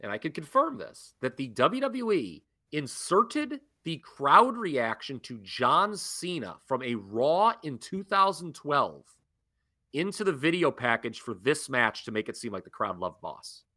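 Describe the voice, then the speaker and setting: A young adult man co-hosting a podcast, ranting with animated disbelief in casual chat. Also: mid-range voice, a little slow. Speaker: podcast co-host. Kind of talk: casual wrestling review chat.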